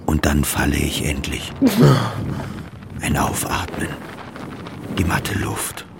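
A man's voice: a bass voice, steady tone, slow, vocal fry, heavy background noise, full character voice. An actor playing a depressed, despairing man in a radio play monologue, brooding and self-mocking as he narrates his inner thoughts. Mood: bleak, brooding.

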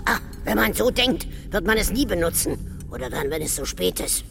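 A man's voice: sits high, big pitch swings, moderate pace, creaky, full character voice. An actor playing a surly, contrary dwarf who grumbles and looks out for his own, in a radio play. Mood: bitter, scornful. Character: sour, grumbling, self-interested male dwarf.

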